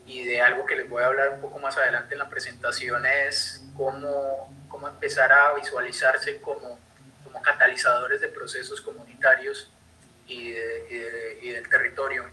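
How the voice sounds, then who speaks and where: Hesitant Colombian man, bright flat voice. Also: medium pitch, moderate pace, slight background noise, poor audio. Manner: hesitant, many fillers and restarts. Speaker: conservation speaker. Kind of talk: online talk. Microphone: computer mic on video call.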